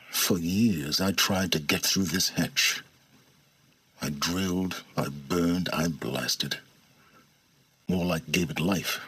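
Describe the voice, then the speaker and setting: An actor playing an arrogant, aloof older male scholar of ancient technology in a video game, speaking in a measured, formal, condescending way. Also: low voice, fairly bright tone, breathy edge, no echo, full character voice. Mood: clipped, impatient. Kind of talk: video game voice acting, dramatic dialogue.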